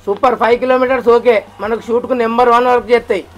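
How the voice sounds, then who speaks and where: A man's voice: very high voice, steady tone, very fast, a bit bright, faint music behind. A man chatting casually as he leads a group, directing and questioning the others and mixing in English words. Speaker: vlogger leading a group test of walkie-talkies.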